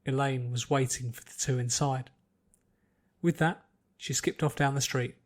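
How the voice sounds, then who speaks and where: A man's voice: medium pitch, fairly even intonation, a little slow. A man reading scripted story narration as an audiobook narrator.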